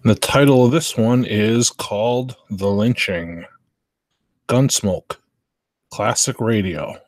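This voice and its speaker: A man's voice: lowish voice, slow pace, no room sound, poor audio. A man chatting casually and informally as the host of a live stream of classic radio shows.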